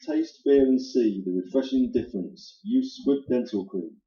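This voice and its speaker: A man's voice: medium pitch, expressive, moderate pace. A man announcing in 1940s radio style, with polished, salesmanlike delivery. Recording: muffled sound in echoey room.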